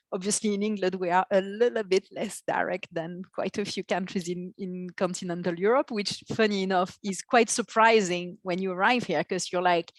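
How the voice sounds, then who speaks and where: Interview guest: woman with medium pitch, talking conversationally, recorded with no room sound. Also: no room sound. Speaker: podcast guest, marketing executive.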